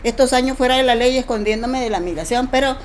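A woman's voice: high-pitched, quick pace, a little hiss or hum. A middle-aged woman with a Nicaraguan accent sharing an informal personal testimony. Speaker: migrant interviewee. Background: music.

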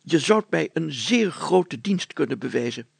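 A man's voice: fairly high pitch, sing-song, measured pace, gravelly, husky, dry recording, pitched above the performer's own voice, exaggerated voice. A man voicing a pompous, blustering older village mayor who repeats himself and exclaims in a children's story. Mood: plaintive, blustering.

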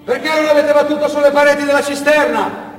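A man's voice: very high-pitched, little pitch movement, quick pace, voice pushed up. A man playing an anguished, desperate lorry driver crying out in a theatrical monologue. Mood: anguished, desperate shouting. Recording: echoey hall, distant mic, music behind.

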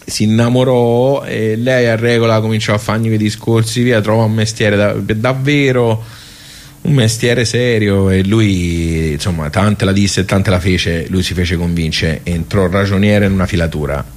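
Low-voiced Tuscan man telling stories. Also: steady tone, normal speed. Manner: rambling, interjecting asides to listeners. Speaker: actor performing a monologue. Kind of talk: colloquial theatrical storytelling monologue.

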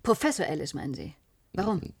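A woman's voice: lowish voice, sing-song, a little fast, creaky, slightly rough, full character voice. Nervous, evasive female lab assistant. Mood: nervous, defensive. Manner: hesitant, defensive. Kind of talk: German radio play acting.

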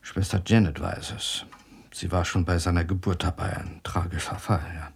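A knowing, measured doctor in a radio drama. His voice is deep, gravelly and husky, and his delivery is grave. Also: fairly even intonation, full character voice. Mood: pensive. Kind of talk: German radio drama acting.

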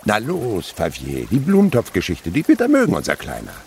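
An actor playing an excitable middle-aged scientist in a radio drama, proud and fatherly, muttering and repeating himself. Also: on the low side, fairly quick, gravelly, a little hiss or hum, full character voice. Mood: teasing, coaxing.

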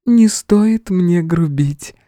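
A man voicing a gentle, coolly condescending goddess of mercy with a tender, measured female voice in an audiobook. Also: sits high, no echo, studio quality, voice pushed up.

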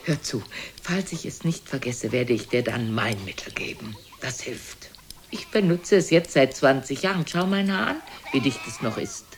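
Sharp-tongued old mother over noisy sound. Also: very deep voice, big pitch swings, normal speed, exaggerated voice. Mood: nosy, mocking. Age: older. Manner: theatrical, scolding, drops into whispers. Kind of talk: German radio play acting. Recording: noisy older radio recording.

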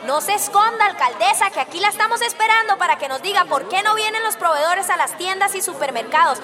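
A woman's voice: very high voice, expressive, fast talker, crowd noise. An actress playing a determined, indignant village woman activist in a radio drama, earnest and resolute. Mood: indignant, demanding.

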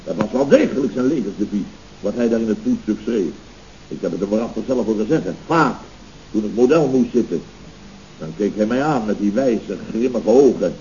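An actor playing a pompous, touchy middle-aged boss of a building firm, blustering theatrically in an old radio comedy. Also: male voice, on the low side, expressive, brisk, warm tone, close to an everyday voice. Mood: solemn, self-important. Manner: blustering, theatrical, muddles words and sayings. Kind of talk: 1970s Dutch radio comedy acting. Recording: muffled, hissy old radio recording.

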